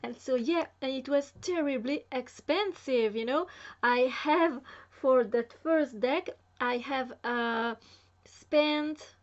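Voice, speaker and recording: A woman's voice: sits high, slow pace, muffled recording. A young woman, French her first language, chatting casually to camera as a vlogger, filling her talk with lots of "you know"s, in a small room at home. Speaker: spiritual vlogger.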